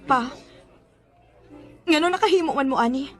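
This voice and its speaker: A woman's voice: high voice, moderate pace, soft background music, some chatter behind, close to an everyday voice. A woman acting in a Bisaya radio drama as a caring, protective older sister, earnest and insistent. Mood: indignant confrontation. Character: caring, protective older sister who challenges her parents.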